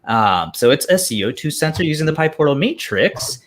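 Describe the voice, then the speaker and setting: A man's voice: a little high, sing-song, brisk. A man giving an informal, casual show-and-tell demo as a project presenter.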